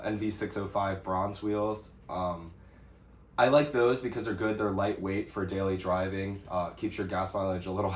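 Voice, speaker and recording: A young American man chatting casually as he answers questions for an off-road vlog, easygoing and a bit hesitant. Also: deep voice. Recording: roomy echo with some background noise.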